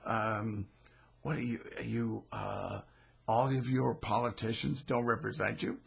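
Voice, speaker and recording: A man's voice: lowish voice, steady tone, unhurried, vocal fry, slightly rough, full character voice. A man voicing a brash male friend, asking blunt, incredulous questions.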